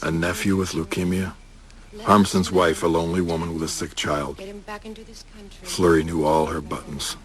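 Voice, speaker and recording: Very deep, clipped detective voice-over by an actor. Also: male voice, moderate pace, long pauses, lightly acted. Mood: grim, matter-of-fact. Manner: clipped, deadpan. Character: terse, world-weary male detective recounting his case. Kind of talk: noir-like first-person crime drama voice-over.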